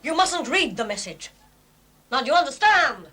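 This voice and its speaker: Sharp insistent accomplice lady, high bright voice. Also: big pitch swings, normal speed, exaggerated voice. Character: anxious woman accomplice of a crook.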